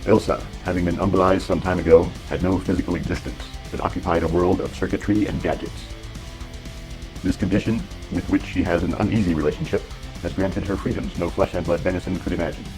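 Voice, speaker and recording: A man giving dramatic, grandiose scripted narration for an adventure serial, with deliberate phrasing. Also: deep voice, steady tone, over music, close to an everyday voice, poor audio.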